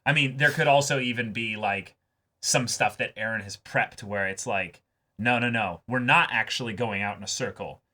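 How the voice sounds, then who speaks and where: A man co-hosting an anime reaction show, talking casually and excitedly, often repeating words as he thinks aloud. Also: mid-range voice, normal speed. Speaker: co-host reacting to an anime episode.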